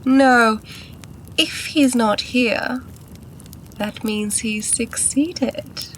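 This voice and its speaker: A woman's voice: a little high, a little hiss or hum, poor audio. An actress playing a mocking, disdainful schemer who taunts her rivals in a fantasy audio drama. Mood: smug, mocking. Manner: taunting, unhurried.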